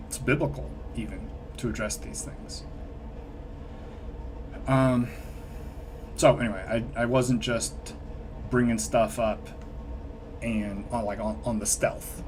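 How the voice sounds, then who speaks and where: A man's voice: medium pitch, steady tone, slow pace, long pauses, a little hiss or hum, low-quality recording. A man chatting casually on a livestream, rambling and hesitant with frequent restarts. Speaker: streamer. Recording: music playing behind.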